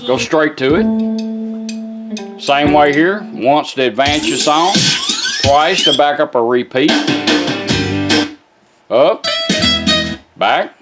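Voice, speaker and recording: A man's voice: on the high side, slow, long pauses, loud music behind the voice. Folksy man giving a casual demo. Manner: folksy, informal, talks to the gadget. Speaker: presenter demonstrating a product. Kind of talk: casual hands-on demonstration.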